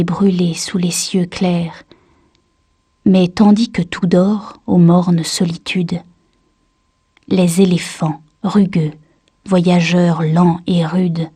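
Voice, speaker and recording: Slow, mellow woman reciting poetry. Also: on the low side, slightly rough, no room sound, studio quality. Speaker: poetry reader. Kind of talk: literary poem recitation.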